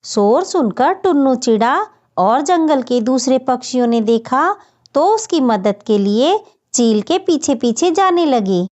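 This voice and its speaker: A woman's voice: high voice, big pitch swings, a little slow, darkish tone. A woman reading a scripted children's story as its narrator. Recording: dull, muffled lo-fi audio.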